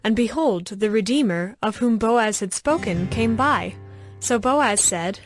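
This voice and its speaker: A woman's voice: fairly high pitch, lively intonation, a little slow, soft background music. A woman giving a scripted narration of scripture as the narrator of a dramatized audio production.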